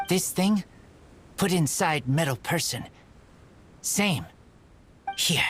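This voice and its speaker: A woman voicing an earnest wild boy in a video game, speaking in halting, terse broken sentences. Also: low-pitched, animated, a little hiss or hum. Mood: halting, trusting. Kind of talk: scripted video game voice acting.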